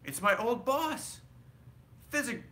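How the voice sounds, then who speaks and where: Crotchety old storybook miser, performed by a male reader: indignant, jumpy, exclaiming with a sharp forceful edge. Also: very high-pitched, sing-song, slow pace, voice pushed up, heavily acted. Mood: excited.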